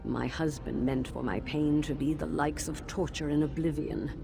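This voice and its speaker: An actress playing a formal, measured elf woman, a tormented mage teacher's soul in a fantasy game. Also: deep voice, gravelly. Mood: grave, weary. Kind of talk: scripted fantasy game dialogue. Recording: background music under the voice.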